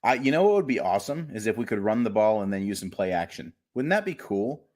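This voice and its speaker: A man with a fairly low voice co-hosts a laid-back sports podcast. He grumbles about his team in a wry, gloomy way and pokes fun at himself as he goes. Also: big pitch swings, fast talker, darkish tone. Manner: wry, gloomy, self-mocking banter. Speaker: podcast co-host. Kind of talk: casual sports podcast chat.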